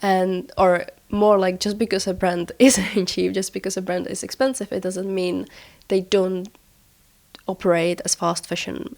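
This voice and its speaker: A woman's voice: fairly low pitch, creaky, darkish tone. A woman hosting a podcast in a casual, explanatory style while she has a cold, informal and often rewording herself as she goes. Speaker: podcast host.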